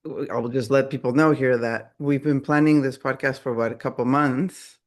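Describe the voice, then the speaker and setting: Relaxed podcast host, casual man. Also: mid-range voice, normal speed. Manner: relaxed, casual, affirming interjections. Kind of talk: casual conversational interview.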